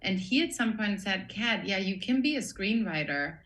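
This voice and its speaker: A woman's voice: mid-range voice, animated, normal speed, fairly bright tone. A woman in her forties from Austria with an Austrian German accent, speaking thoughtfully as a podcast guest, with frequent ums and restarts. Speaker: guest, production designer. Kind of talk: casual interview conversation.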